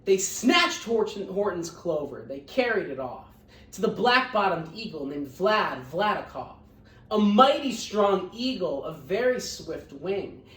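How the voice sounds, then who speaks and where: A woman reading a children's storybook aloud as an expressive narrator. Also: lowish voice, measured pace. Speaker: read-aloud storyteller, teacher. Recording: roomy echo, distant microphone.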